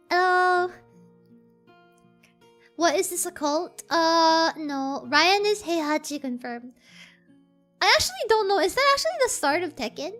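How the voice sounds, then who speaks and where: A soft-voiced woman streamer chats with her viewers in a very high, sharp, bright voice. She giggles and repeats herself as she reads their messages. Faint music plays behind her. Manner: giggly, chatty, stumbles and repeats words. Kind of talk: casual chat, reading viewer messages.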